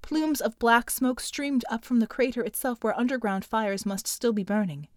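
Woman reading an action audiobook, highish voice, super dry close sound. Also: normal speed, no room sound. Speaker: audiobook narrator. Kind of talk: scripted dramatic story narration.

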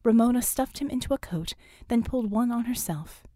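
A woman's voice: a little high, expressive, fairly quick, warm tone, dry recording, studio quality. A woman reading scripted fiction as an audiobook narrator.

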